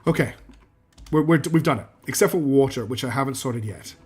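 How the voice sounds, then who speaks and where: A man streaming a factory-building game, losing his voice and clearing his throat, talking himself through each step and answering chat. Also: medium pitch, brisk. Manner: talks himself through building steps, counts out loud, asks himself questions; answers chat messages as he plays. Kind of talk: live gameplay commentary, thinking aloud.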